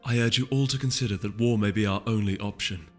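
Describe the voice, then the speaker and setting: A man's voice: on the low side, lightly acted. Man playing an earnest, solemn pony princess. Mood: grave appeal. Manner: formal, measured, solemn. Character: gentle, earnest, pleading pony princess ruler. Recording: long reverb, music underneath.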